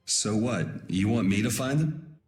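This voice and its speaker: A cool young rebel from a video game, played by a man. He speaks in a lowish voice with a dry, sardonic, offhand delivery, over soft music. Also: unhurried, lightly acted. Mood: cool, thoughtful. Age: young adult. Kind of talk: dramatic video game voice acting. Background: background music.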